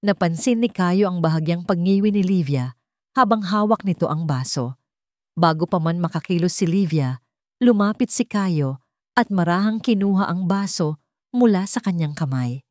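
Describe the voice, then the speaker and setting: A woman's voice: fairly low pitch, expressive, moderate pace, a little mellow, no room sound, clean studio sound. A woman giving a scripted, dramatic narration of a sentimental love story.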